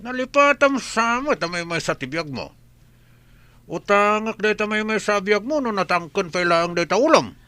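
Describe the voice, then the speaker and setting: A man's voice: sits high, animated, moderate pace, pushed and bright, smooth, no room sound. A man with an Ilocano accent playing a menacing masked thug in an audio drama. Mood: threatening. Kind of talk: scripted audio drama acting.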